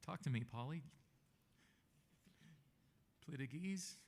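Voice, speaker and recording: An actor playing a gentle, worried father who speaks softly and coaxingly to his daughter. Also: fairly high pitch, expressive, slow, slight background noise. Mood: tender. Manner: soft, coaxing.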